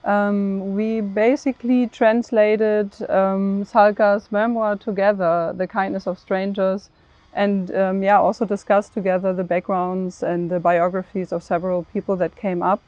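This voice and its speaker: A woman speaks as a panelist in a conversational discussion. Her delivery is fairly flat in pitch, earnest and measured, feeling out phrases as she goes. The recording is dry and somewhat dull. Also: no echo. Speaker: panelist, programme head.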